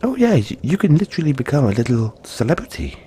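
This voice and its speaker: A man voicing a gentle, cuddly young yeti in a soft-spoken, hesitant, soothing roleplay addressed to the listener. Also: mid-range voice, very animated, fast talker, slight background noise, natural voice. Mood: fond, chatty.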